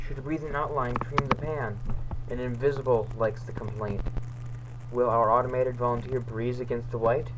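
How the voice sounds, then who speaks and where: A young man giving a mock-dramatic, theatrical reading of scripted text on a noisy webcam microphone in a room. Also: medium pitch. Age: young adult. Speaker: amateur video maker reading aloud. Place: small room indoors. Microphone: webcam or laptop microphone, close.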